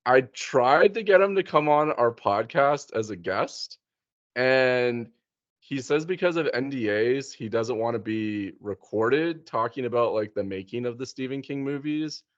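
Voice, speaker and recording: A man's voice: mid-range voice, no room sound, muffled recording. A man chatting casually as a podcast host, in an informal, slangy way. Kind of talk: casual chat.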